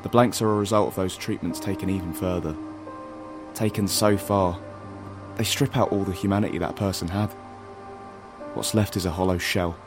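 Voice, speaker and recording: Man playing an earnest, low-voiced rebel guide. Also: fairly even intonation, normal speed, natural voice. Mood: grave, insistent. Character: earnest, urgent male rebel guide, nerdy and protective. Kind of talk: audio drama acting. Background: music.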